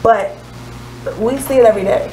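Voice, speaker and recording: A woman's voice: on the high side, moderate pace, mellow, slight background noise, low-quality recording. A woman in her twenties, a radio guest talking candidly and reflectively, building her points with rhetorical questions. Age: 20s. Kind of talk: casual radio talk.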